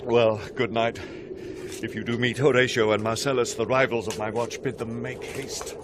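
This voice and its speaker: A British man acting a brisk, insistent castle sentinel in a forthright, theatrical Shakespearean radio drama style. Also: mid-range voice, level tone, natural voice. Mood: brisk, businesslike. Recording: noisy 1990s radio drama with ambience.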